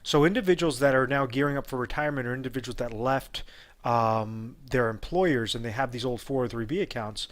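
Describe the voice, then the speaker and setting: A man's voice: medium pitch, a little fast. Male advisor with a mid-range voice, explaining off the cuff and full of ums. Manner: unscripted, many fillers and restarts. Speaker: retirement advisor. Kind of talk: explainer video talk.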